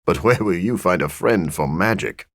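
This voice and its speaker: A brooding, learned male vampire from a video game. His low voice is husky and moves expressively up and down, with formal, articulate phrasing and dry wit. The sound is close and dry. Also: lively intonation, moderate pace, no room sound. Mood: wry. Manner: articulate, formal, dryly witty. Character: sophisticated, bookish living vampire scientist, dry and brooding. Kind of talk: video game character voice lines.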